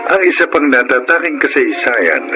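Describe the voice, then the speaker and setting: A man's voice: mid-range voice, big pitch swings, moderate pace, over music. A man announcing and narrating a radio drama in a dramatic, scripted style.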